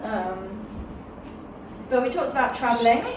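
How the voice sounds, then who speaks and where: A woman's voice: on the high side, measured pace, echoey room, distant mic, noisy background. A woman vlogging and chatting casually, self-deprecating and playful. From England. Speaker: travel vlogger. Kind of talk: casual chat to camera.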